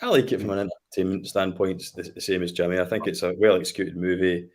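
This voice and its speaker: A man's voice: deep voice, steady tone, fast. A Scottish man in his late thirties, chatting casually about films and telling a laid-back family story with easy laughs. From Scotland. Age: late 30s. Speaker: podcast guest. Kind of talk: casual film discussion.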